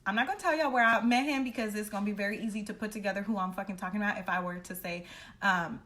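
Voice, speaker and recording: Bright-voiced young woman telling a story. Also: medium pitch, very fast. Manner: animated, dramatic re-enacting of dialogue; blunt, slangy, swearing freely. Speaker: storytelling vlogger. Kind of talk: casual personal storytime, chatty and profane.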